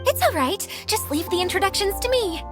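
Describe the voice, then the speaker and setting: A woman's voice: very high voice, animated, brisk, music in the background. A woman playing an eager, polite young caretaker of a magical realm in a game, formal and earnest. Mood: proud, enthusiastic. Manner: formal, earnest, effusive, apologetic. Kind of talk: game cutscene character dialogue.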